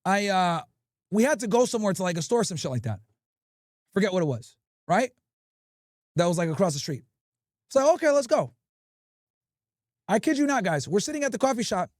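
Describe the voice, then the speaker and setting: A man's voice: high-pitched, a little fast, pauses often, no room sound, clean studio sound. A male livestream host giving brash, profane commentary and telling stories with lots of swearing. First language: English. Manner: brash, profane, animated storytelling.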